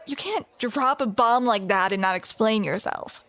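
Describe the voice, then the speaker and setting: A woman's voice: on the high side, normal speed, a bit bright. A woman performing a scripted romantic audio roleplay, with playful, teasing banter, close to the microphone.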